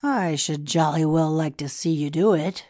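A pompous, scheming Egyptian priest who speaks slowly and with grand ceremony. A woman voices him in a lowish, unhurried voice. The recording is very dry and close. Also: a little mellow, no room sound. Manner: grand, confiding, measured. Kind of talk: audiobook dialogue reading.

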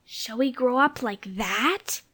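A man voicing a small, bright, naive little girl in an audiobook. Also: very high voice, expressive, moderate pace, pitched above the performer's own voice, full character voice. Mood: dismayed. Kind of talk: audiobook character voice.

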